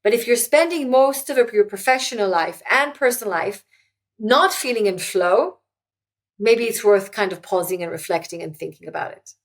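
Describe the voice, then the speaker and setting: A woman's voice: medium pitch, animated, moderate pace. A middle-aged woman whose first language is Hebrew, talking earnestly as an interview guest and often restarting her sentences. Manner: earnest, many restarts and fillers. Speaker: guest, author. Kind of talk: reflective interview talk.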